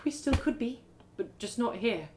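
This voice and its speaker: A woman's voice: fairly high pitch, lively intonation, moderate pace, darkish tone, a little hiss or hum, lightly acted. A woman voicing a weary, guarded female elf warrior in a fantasy story, terse and brooding.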